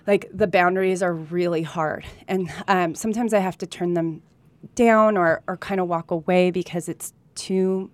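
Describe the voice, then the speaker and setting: A woman's voice: lowish voice, steady tone, normal speed, dry recording. A woman from California talking earnestly and candidly as a guest in a conversational interview. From United States (California), also lived in Colombia. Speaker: interview guest, real estate agent. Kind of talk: conversational interview, personal anecdotes.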